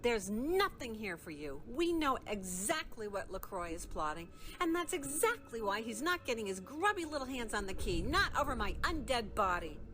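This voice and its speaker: A brash, foul-mouthed young rebel vampire sneers and swears in a combative voice. Her lively pitch leaps up and down and sits a touch high. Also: normal speed, few pauses. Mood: defiant. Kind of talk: game character dialogue.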